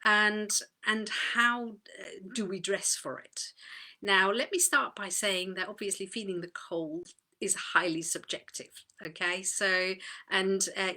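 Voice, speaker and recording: A woman from Denmark speaks in a relaxed, chatty way on a live video stream, full of fillers and small restarts. Her voice sits fairly low but sounds bright and crisp. She sounds a little tired, talking from a small, dim home office. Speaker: host of an online community talk. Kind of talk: live video talk to a group.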